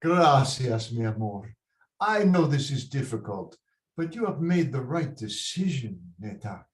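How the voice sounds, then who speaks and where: A man's voice: mid-range voice, very animated, unhurried. Man acting a hot-tempered Mexican father, echoey and swooping. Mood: relieved, fond. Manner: exasperated, code-switching into Spanish, swearing. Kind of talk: table read of a comic Shakespearean-style play. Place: indoor room, on a video call. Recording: roomy echoing video-call audio.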